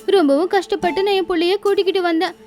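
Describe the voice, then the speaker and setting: A woman voicing an aggrieved, complaining animal parent in an animated forest fable. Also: extremely high pitch, quick pace, voice pushed up. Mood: aggrieved, pleading. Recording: music behind the voice.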